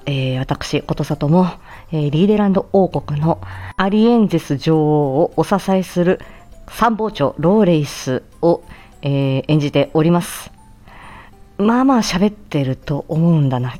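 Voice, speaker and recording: Cheerful lady doing a casual morning update, lowish voice, giggly little asides, bit of music behind her. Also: warm tone. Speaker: audio channel host, speech therapist and amateur voice actor. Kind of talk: casual chatty morning announcement. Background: background music.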